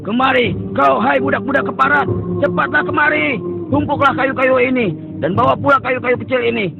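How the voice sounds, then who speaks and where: Harsh bootlicking overseer, very high gravelly bark, muffled cartoon dub track. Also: male voice, a little fast, over music. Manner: barking orders, fawning to the king. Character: fawning harsh royal overseer, grown man.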